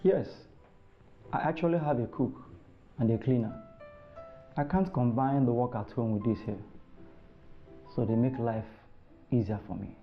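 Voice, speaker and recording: An actor playing a calm, measured, reassuring male doctor in a naturalistic screen drama. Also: medium pitch, lo-fi sound, soft background music, close to an everyday voice.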